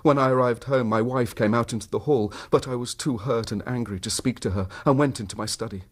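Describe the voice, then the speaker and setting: An actor playing a troubled, proud Victorian husband in a radio drama, with a British accent and an earnest, formal, wounded manner. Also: male voice, mid-range voice, brisk, lightly acted. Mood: hurt, grave. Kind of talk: radio drama acting, narrating his own story.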